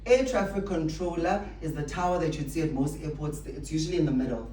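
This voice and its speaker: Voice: mid-range voice, a little fast, warm tone. Echoey, emphatic motivational speaker. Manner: emphatic, rhetorical questions and repetition. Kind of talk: motivational speech to staff. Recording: echoey room, distant mic, faint noise.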